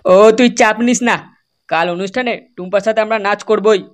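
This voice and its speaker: A woman's voice: medium pitch, brisk, very noisy, lightly acted. A woman voicing brash young village troublemakers in an amateur cartoon comedy, scolding crudely and swearing constantly. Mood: scheming, bossy.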